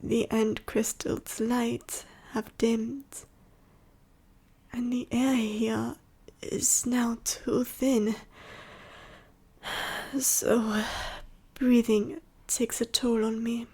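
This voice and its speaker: Breathy, dying female dragon, acted by a woman. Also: a little high, flat delivery, dark tone, full character voice. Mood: wistful, weary. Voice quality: breathy, whispery, husky. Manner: slow, formal, archaic phrasing with heavy pauses. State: weak, short of breath. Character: dying female dragon, last of her bloodline, sorrowful, gentle. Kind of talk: ASMR roleplay.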